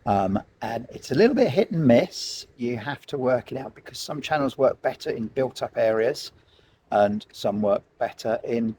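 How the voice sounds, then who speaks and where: A man's voice: mid-range voice, expressive, normal speed, dark tone, slight background noise. A man giving a casual, explanatory product review, speaking informally and directly to viewers. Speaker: vlog host and product reviewer.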